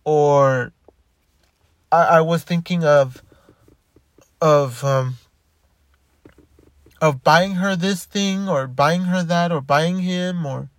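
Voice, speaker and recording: Man, casual rambling monologue, many restarts, slightly high voice, dry close sound. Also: slow, pauses often, no echo. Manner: rambling, frequent restarts and repeated words. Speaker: commentator.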